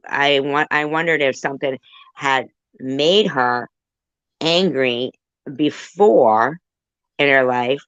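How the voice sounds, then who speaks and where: A woman hosting a casual livestream conversation, talking in a halting, roundabout way. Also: very deep voice, fairly bright tone, clear, resonant tone, no echo, highs cut off. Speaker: livestream host.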